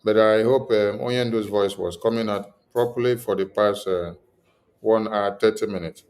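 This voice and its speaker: A man's voice: fairly low pitch, steady tone, moderate pace, darkish tone. Man hosting a live stream. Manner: repetitive, pleading requests to viewers. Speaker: livestream host. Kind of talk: live-streamed political address.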